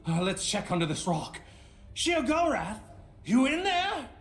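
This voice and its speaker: A man's voice: very high voice, sing-song, a little slow, a bit bright, exaggerated voice. An actor playing an unhinged adventurer who has lost his memory, rambling erratically in a theatrical video game style. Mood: rambling, manic. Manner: erratic, rambling, talking to himself. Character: unhinged, amnesiac male adventurer losing his mind to madness. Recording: echoey, faint music behind.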